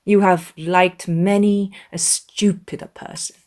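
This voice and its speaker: A woman voicing a witty, confident young gentlewoman with an arch, teasing manner in a dramatized audiobook. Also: on the low side, little pitch movement, takes it slowly. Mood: playfully teasing.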